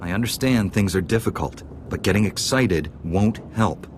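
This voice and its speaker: Deep-voiced, composed Jedi man. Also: slight background noise. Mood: calm. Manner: calm, measured, polite. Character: composed, noble young male Jedi knight. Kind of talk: video game dialogue acting.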